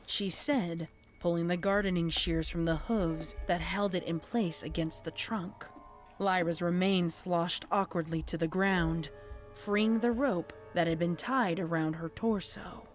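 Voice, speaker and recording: A woman's voice: on the low side, steady tone, a little slow, soft background music. A woman reading a scripted horror story as a dramatic narrator.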